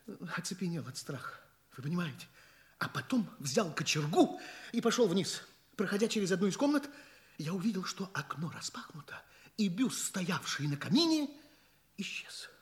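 A man's voice: sits high, sing-song, normal speed. An actor playing a flustered, talkative male journalist in a radio play, rambling and stammering with fussy politeness as a shaken witness. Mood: agitated, dramatic. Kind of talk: Russian radio play acting.

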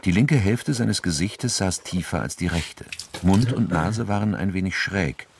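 A man narrating a radio crime drama from a script in a measured, sober, factual way. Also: low voice, expressive, slight background noise. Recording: faint music behind.

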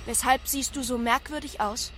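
Radio drama role: innocent artificial woman voiced by an actress, high voice, literal and questioning, with music underneath. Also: steady tone, moderate pace, natural voice. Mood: puzzled, matter-of-fact. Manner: literal, matter-of-fact, questioning. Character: naive young female artificial intelligence avatar, literal and earnest. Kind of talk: scripted radio drama acting. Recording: music behind the voice.

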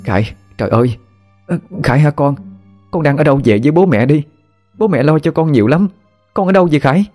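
A man voicing a frantic middle-aged mother who pleads in a high, wailing voice. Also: fast, darkish tone, faint music behind, lightly acted. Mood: pleading.

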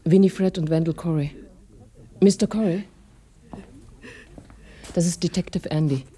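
A dark-voiced, matter-of-fact police detective. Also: female voice, on the low side, unhurried, husky. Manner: plain, sober reporting. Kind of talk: radio drama acting.

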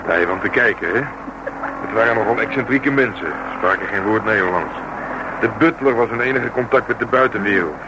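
An actor playing a gruff, sardonic police detective in a radio drama, grumbling drily. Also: male voice, on the low side, brisk, lightly acted. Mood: dry, wry. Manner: dry, grumbling, wry. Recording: noisy old radio drama recording.

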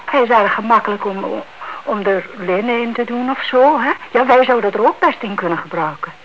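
An actress playing an inquisitive, stubborn elderly aunt in a Dutch radio play, politely pressing with questions. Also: female voice, a little high, a little fast, lightly acted. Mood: curious. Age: older. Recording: dull, noisy old radio recording.

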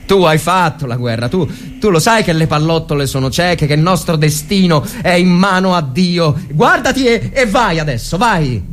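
A man acting an agitated army lieutenant in a dramatic story reading, urging a frightened soldier on with hurried, pleading repetition. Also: a little high, animated, a bit bright, slight background noise, pitched above the performer's own voice. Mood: anxious urging.

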